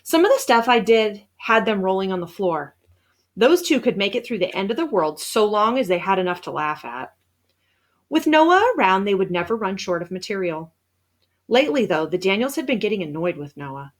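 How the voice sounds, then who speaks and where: Female read-aloud narrator for a children's novel; mid-pitched, expressive storyteller delivering scripted first-person prose. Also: normal speed. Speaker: storybook reader.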